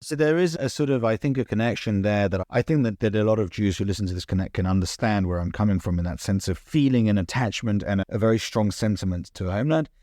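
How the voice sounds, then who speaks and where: A man's voice: lowish voice, warm tone, dry recording, clean studio sound. A young man who grew up in the United Kingdom, talking earnestly in an interview and often restarting his sentences. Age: young adult. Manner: earnest, fast, stumbles and restarts. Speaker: podcast guest, social media founder. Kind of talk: interview conversation.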